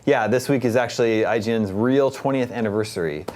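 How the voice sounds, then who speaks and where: A man's voice: medium pitch, a little fast, a little mellow. A man hosting an unscripted podcast, talking in a joking, playful way. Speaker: podcast host.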